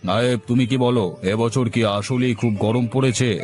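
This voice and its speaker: A domineering landlord, voiced by a man, scolds and bullies in a steady mid-range voice. Soft music plays underneath. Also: mid-range voice, fairly quick, natural voice. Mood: scolding. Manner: scolding, bossy. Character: greedy, domineering male landlord, middle-aged.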